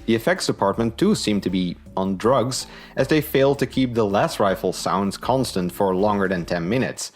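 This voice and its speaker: A man's voice: on the low side, expressive, normal speed, hardly any pauses, soft background music. A man giving a scripted review in an informal, opinionated way. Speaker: book and audio drama reviewer.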